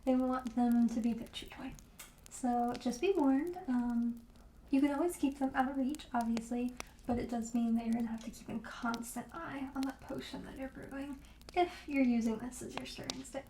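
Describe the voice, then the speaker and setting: A woman playing a friendly, soothing witch shopkeeper in an ASMR roleplay, speaking gently and hesitantly and drifting into whispers. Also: sits high, steady tone, moderate pace, roomy sound, a little hiss or hum, close to an everyday voice, poor audio. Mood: gentle, explanatory. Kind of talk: soft-spoken ASMR roleplay.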